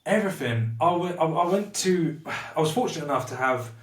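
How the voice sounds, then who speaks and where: A man's voice: a little high, expressive, fairly quick. A man in his thirties from Portsmouth with a Portsmouth English accent, talking openly and reflectively as a podcast guest, often restarting his sentences. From England. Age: 30s. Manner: open and reflective, with frequent restarts. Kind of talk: candid personal conversation. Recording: roomy, somewhat distant mic.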